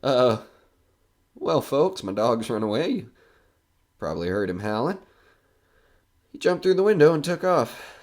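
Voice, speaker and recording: A man's voice: medium pitch, very animated, measured pace, pauses often, a little mellow. A man playing a nervous, skeptical broadcaster alone in a haunted house in an audio horror drama, rambling and joking anxiously. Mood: uneasy.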